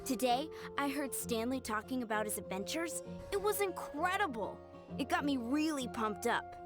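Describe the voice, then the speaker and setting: A woman voicing an eager young female knight trainee, full of bright enthusiasm. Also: high-pitched, animated, normal speed, music in the background.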